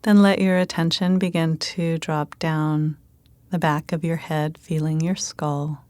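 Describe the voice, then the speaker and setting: Slow, soothing woman guiding meditation. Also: deep voice, no echo. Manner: calm, gentle, unhurried instruction with pauses. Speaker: meditation guide. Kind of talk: guided meditation narration.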